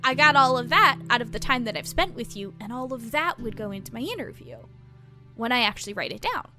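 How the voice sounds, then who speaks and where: A woman playing an earnest, patient small-town reporter in an audio drama, explaining things in a measured, reflective way. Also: a little high, animated, bright, forceful tone, soft background music. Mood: brisk, explaining. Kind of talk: audio drama dialogue, naturalistic.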